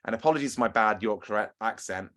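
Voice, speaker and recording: A man's voice: on the low side, fast, a bit bright. A man coaching runners in an upbeat, chatty webinar, often asking his audience questions. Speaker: running coach. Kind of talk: webinar talk and Q&A.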